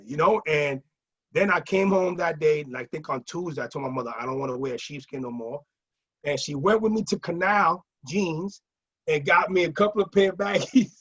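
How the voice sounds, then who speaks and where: A man with a husky, slightly high voice tells anecdotes in an animated, casual way. The audio is dull and lo-fi. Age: middle-aged. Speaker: guest sharing nightlife memories. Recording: muffled lo-fi audio.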